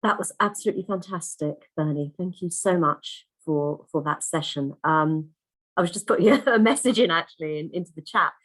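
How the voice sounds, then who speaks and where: A woman hosts an online conference from a video call, gushing over the speakers and chatting freely. Her voice sits fairly low, and her talk is loose and full of restarts. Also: moderate pace, poor audio. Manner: warm, chatty, effusive, lots of fillers and restarts. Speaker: conference host.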